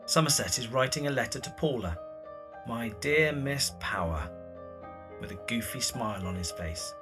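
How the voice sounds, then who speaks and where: Male narrator, scripted audio drama, animated pitch movement, medium pitch, clear music behind.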